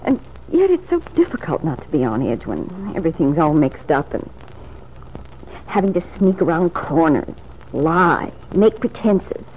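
An actress playing an anxious, tearful married woman in love, speaking earnestly and haltingly in an old radio soap opera. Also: low voice, very animated. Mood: troubled. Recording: dull, hissy old radio recording.

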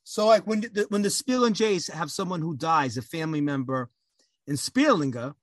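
A man's voice: on the high side, expressive, normal speed, no room sound. A man co-hosting a podcast, casually asking pointed follow-up questions. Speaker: podcast co-host.

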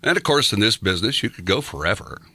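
Older male radio host, sixties, low but bright voice, loose chuckling delivery, dry studio sound. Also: very animated, quick pace, breathy edge, no echo. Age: 60s. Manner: chuckling, rambling, heavy on fillers. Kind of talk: casual chat.

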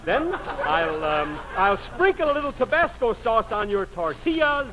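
A blustering, self-important restaurant boss, very high in pitch and swooping in exasperation. He scolds in old radio comedy style. The sound is dull and clearly noisy. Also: big pitch swings, unhurried. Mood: fussy, bossy. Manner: pompous, flustered, scolding. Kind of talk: 1940s radio comedy acting.

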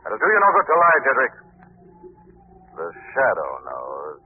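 An actor playing a stern, righteous invisible avenger, declaiming deliberately in an old radio drama. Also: male voice, medium pitch, wildly swooping pitch, narrow, tinny audio. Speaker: actor in a radio mystery serial. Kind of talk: old-time radio hero acting. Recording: thin old radio recording, faint noise.

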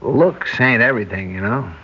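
A man's voice: mid-range voice, normal speed, breathy edge, close to an everyday voice. An actor playing a good-natured, lovelorn bus station man in a 1960s radio drama, with casual, slangy American speech. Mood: pleading, lovelorn. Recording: dull, noisy vintage radio recording.

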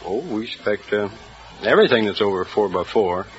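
A man's voice: fairly low pitch, animated, fairly quick, loud music behind the voice. A man giving plain, literal answers as a contestant on a vintage radio quiz. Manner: plain, literal, matter-of-fact. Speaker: quiz contestant, building inspector. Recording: noisy, muffled old radio broadcast.

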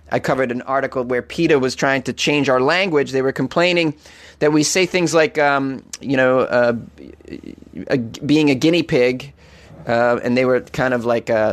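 A man with a Boston accent hosting a comedic weird-news podcast, rambling and joking irreverently, often laughing at his own lines. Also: mid-range voice. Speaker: podcast host.

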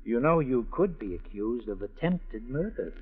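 An actor playing a smooth, cocky schemer with an insinuating, wisecracking manner in an old-time radio drama. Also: male voice, medium pitch, big pitch swings, normal speed. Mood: smug, insinuating. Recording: tinny, narrow-band vintage radio with music.

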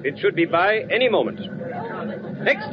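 Officious high-pitched train master. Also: male voice, huge pitch swings, normal speed. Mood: brisk, officious. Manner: clipped, bureaucratic. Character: brisk, officious German train master checking passports. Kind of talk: 1940s radio drama acting. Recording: dull vintage radio, clear background noise.

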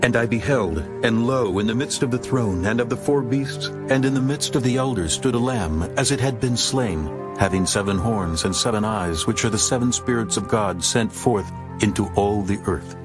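A man narrating a dramatized scripture audiobook in a solemn, measured storytelling manner. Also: fairly low pitch. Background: music.

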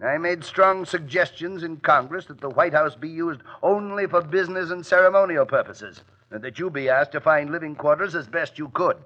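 A man's voice: a little high, normal speed, hardly any pauses, lightly acted. An actor playing a pompous, scornful congressman in old-time radio drama, sarcastic and indignant. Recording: dull old radio recording.

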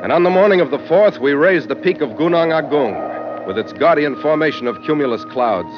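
An actor playing a tough, wry sea captain in a 1940s radio drama, with a clipped, hard-boiled delivery. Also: male voice, on the high side, animated, fairly quick, over music, close to an everyday voice. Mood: wry storytelling. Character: tough, wry seafaring captain narrating his log. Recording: muffled old radio recording.

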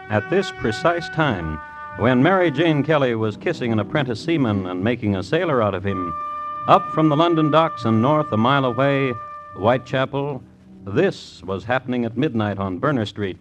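Dry, deliberate vintage radio crime narrator, male. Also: mid-range voice, expressive, over music. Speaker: host and narrator. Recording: dull old radio recording.

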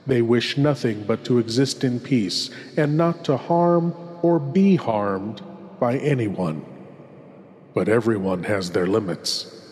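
Man playing a slow ghoulish horror host in cavernous reverb. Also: mid-range voice, darkish tone. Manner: theatrical, deliberate, ornate phrasing. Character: ghoulish horror host lord, courtly, unhurried and sinister. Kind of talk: old-time radio horror presenting. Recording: long hall reverb.

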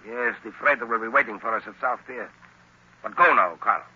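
An actor playing an arrogant, scheming villainous professor, conspiratorial and defiant, in 1930s radio style. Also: male voice, mid-range voice, fairly quick, bright, forceful tone. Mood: scheming, uneasy. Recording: noisy, dull 1930s radio recording.

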